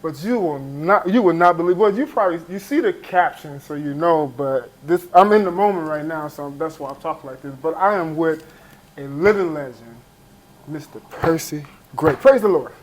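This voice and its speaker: A man hosting an interview, upbeat and quick to laugh, with frequent short affirmations. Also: a little high, lively intonation, poor audio. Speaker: interview host.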